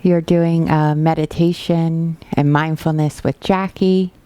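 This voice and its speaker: A woman teaching a guided body scan meditation, giving gentle, unhurried instructions with pauses. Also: low voice, clear, resonant tone, no room sound.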